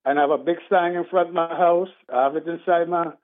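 A man's voice: fairly high pitch, steady tone, quick pace. A man from Trinidad, calling in to a radio show and speaking earnestly in a rambling way. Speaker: caller. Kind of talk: call-in talk radio. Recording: tinny phone line.

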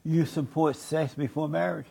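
A man's voice: on the high side, fairly even intonation, normal speed, gravelly, mellow, husky. An older man hosting a talk show, asking blunt and provocative interview questions.

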